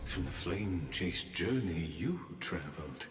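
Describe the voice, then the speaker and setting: A very deep, slow male voice carries this cryptic guide of fate. He speaks in calm, measured riddles, as if every word were foretold. Long reverb hangs on his voice, with faint music underneath. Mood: solemn. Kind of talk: game cutscene voice acting.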